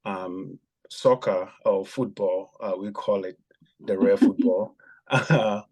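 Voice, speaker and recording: A man with a somewhat low voice tells his story as a podcast guest. He speaks haltingly, filling pauses with frequent ums. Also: darkish tone, husky, rough recording. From Ghana. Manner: hesitant, many ums and pauses. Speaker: guest, former javelin athlete.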